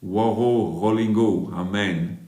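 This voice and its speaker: British-accented preacher singing slow, echoey hymns. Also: male voice, fairly low pitch, steady tone, dark tone. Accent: British English. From England. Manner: drawn-out sung hymns, brisk chatty asides to viewers. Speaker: preacher and worship leader. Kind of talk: livestream worship singing and chatting with viewers.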